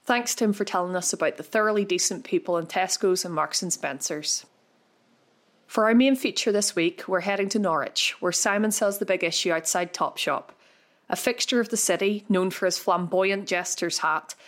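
A woman hosting a podcast, reading scripted narration in a measured way. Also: lowish voice. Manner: measured, read-aloud delivery. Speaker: podcast host. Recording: soft music underneath.

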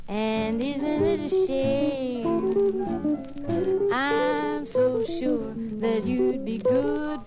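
A woman sings playful vintage jazz-pop at a very unhurried pace. Her voice sits high, and a guitar and band play prominently with her on an old radio recording. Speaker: singer. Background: guitar and band music.

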